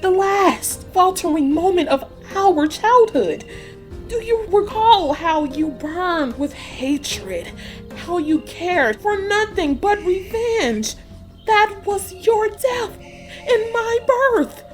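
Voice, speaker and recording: A woman playing an elderly, knowing witch in a dark fairy tale audiobook, speaking in measured, cryptic and solemn storytelling. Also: very high voice, lively intonation, heavily acted. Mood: intense. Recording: music behind the voice. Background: music.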